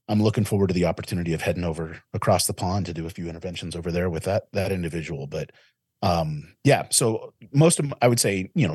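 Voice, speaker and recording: A man in his forties with a very low, husky voice. He speaks earnestly and reflectively, often circling back and restarting his sentences. The sound is dry and close, with no room around him. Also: no echo. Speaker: interventionist guest. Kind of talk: casual interview talk.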